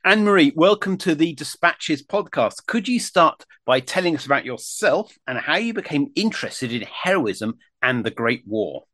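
Lively-voiced male podcast host. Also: on the high side, no echo. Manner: formal, measured presenter delivery. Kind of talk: scripted intro and interview questions.

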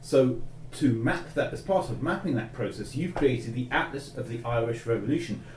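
A man hosting a history podcast, reading a scripted intro and asking measured, polite questions. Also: medium pitch, lively intonation, a little hiss or hum, poor audio. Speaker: podcast host.

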